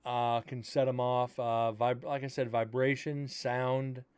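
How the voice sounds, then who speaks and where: A man hosting a paranormal show with a loud, carrying voice. He comments bluntly on old recordings in a casual, opinionated way. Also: mid-range voice, little pitch movement, measured pace, clean tone. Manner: blunt and opinionated, explains gear plainly. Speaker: paranormal investigator host.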